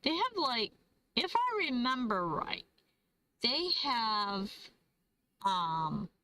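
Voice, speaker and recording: Young woman hosting a live trivia chat; fairly high, bright voice, unhurried delivery, chatty and quick to laugh while reading comments. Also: sing-song, slight background noise. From Michigan, USA. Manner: chatty, laughs often, reads viewer comments aloud. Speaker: livestream host. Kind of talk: casual trivia livestream chat.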